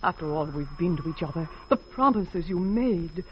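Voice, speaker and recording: An actress playing a scheming, theatrical femme fatale widow in a 1940s radio detective drama. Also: female voice, lowish voice, animated, normal speed, lightly acted. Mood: cooing, mock devoted. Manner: theatrical, breathless cooing and lamenting. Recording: muffled, faintly noisy 1940s radio recording.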